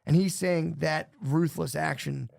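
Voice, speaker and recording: Gravelly casual man rambling on a podcast. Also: a little high, steady tone, a little slow, darkish tone, no echo, studio quality. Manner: rambling, swears a lot, says "dude" often. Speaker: podcast co-host.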